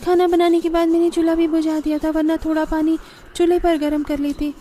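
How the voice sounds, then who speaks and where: A woman's voice: very high voice, little pitch movement, brisk, dark tone, a little hiss or hum, voice pushed up. A woman voicing a shivering, grumbling young sister-in-law from a poor cartoon household, in a plaintive manner.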